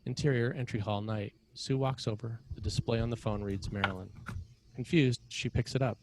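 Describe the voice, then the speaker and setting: A man narrating scripted screenplay directions in a measured, matter-of-fact way with short clipped phrases. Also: medium pitch, fairly even intonation, noisy background.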